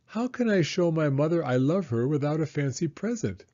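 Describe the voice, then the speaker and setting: A man's voice: fairly high pitch, lively intonation, normal speed, dark tone, muffled recording, voice pushed up. A man voicing an earnest, loving young boy turtle who asks questions in a children's storybook. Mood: earnest, puzzled.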